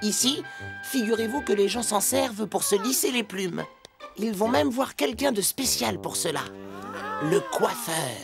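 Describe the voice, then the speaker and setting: Cartoon penguin kid: excitable, exclamatory, creaky edge, music underneath. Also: female voice, medium pitch, measured pace. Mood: chirpy narrating. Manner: exclamatory, playful. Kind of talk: children's cartoon dialogue and letter narration.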